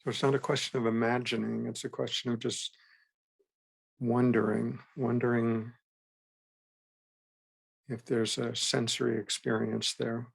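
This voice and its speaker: A man guiding a meditation as a teacher, with gentle, unhurried instruction and frequent pauses. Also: mid-range voice, little pitch movement, gravelly, husky. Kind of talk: guided meditation.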